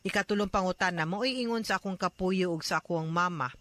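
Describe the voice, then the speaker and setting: Bright-voiced man giving chatty advice. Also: high voice, a little fast, dry recording. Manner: chatty, mixing in English, frequent tag questions. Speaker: radio advice host. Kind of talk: casual advice talk answering a letter.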